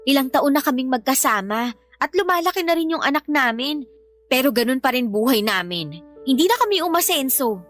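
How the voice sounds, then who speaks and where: Woman playing exasperated, high-voiced young mother. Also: expressive, a little fast, a bit bright, faint music behind. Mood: resentful, fed up. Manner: complaining, emphatic. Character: exasperated young mother fed up with her unambitious partner. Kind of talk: Filipino radio-style drama acting.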